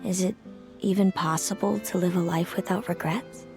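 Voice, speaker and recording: Solemn, composed game sister Selena. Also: female voice, fairly low pitch, steady tone, moderate pace, vocal fry, music in the background. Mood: reflective. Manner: solemn, gentle, reflective. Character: Selena, composed, sorrowful long-lived sister, a young woman. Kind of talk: video game voice acting.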